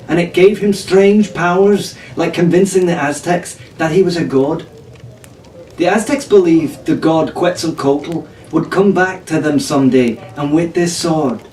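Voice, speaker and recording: A man's voice: on the high side, a little mellow, recorded from a distance, close to an everyday voice. In a roomy, echoing space with faint noise, a man acts out a strong-willed teenage tavern girl from a storybook. She knows her legends and delivers them earnestly and firmly. The voice comes from slightly off the mic.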